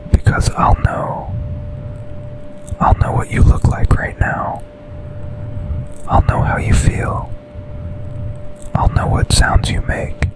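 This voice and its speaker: A man playing an intense, possessive yet tender office boss in a whispered, intimate ASMR boyfriend roleplay, speaking breathily in short, deliberate phrases. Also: natural voice, low-quality recording. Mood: longing, possessive.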